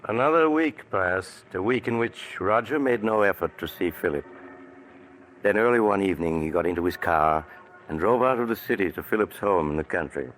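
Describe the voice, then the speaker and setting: Deep-voiced male radio narrator. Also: normal speed, fairly bright tone, rough recording. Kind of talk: scripted radio drama narration. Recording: old radio broadcast with faint hiss.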